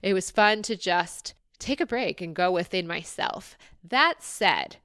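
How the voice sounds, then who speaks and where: A woman's voice: fairly low pitch, expressive, unhurried, a bit bright, smooth, dry recording, clean studio sound. A woman hosting her own podcast, talking in a chatty, upbeat way and laughing often. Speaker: podcast host. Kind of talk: casual solo podcast monologue.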